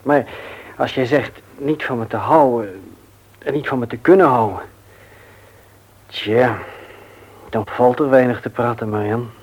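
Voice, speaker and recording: An actor playing an earnest, disappointed suitor who speaks haltingly in a radio drama. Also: male voice, mid-range voice, darkish tone, slightly rough. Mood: resigned, sad. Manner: halting, hesitant. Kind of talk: intimate radio drama dialogue.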